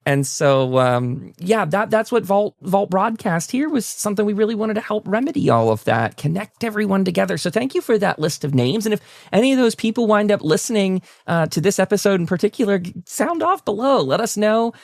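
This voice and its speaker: A man's voice: high-pitched, very animated, a little fast, gravelly, few pauses, no room sound, studio quality. A man hosting a casual interview show, speaking effusively and often stammering over repeated words. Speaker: talk show host.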